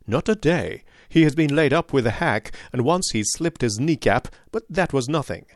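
A man voicing an excitable young sportsman with an eager, deferential manner. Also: mid-range voice, moderate pace, no room sound, clean studio sound, lightly acted. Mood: earnest.

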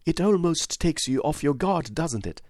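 This is a nervous, dignified widow in a storybook reading, put on by a male narrator. She speaks high and earnestly, with a lively, rising melody, and she is recorded close and dry. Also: normal speed, dry recording, lightly acted. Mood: determined. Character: timid but determined widow, adult woman. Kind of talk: audiobook reading of a classic novel.

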